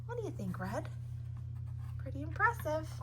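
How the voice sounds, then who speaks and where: A woman's voice: high voice, big pitch swings, normal speed, background noise. A woman reading a rhyming picture book aloud as a storytime host, theatrically and with chatty asides to young listeners.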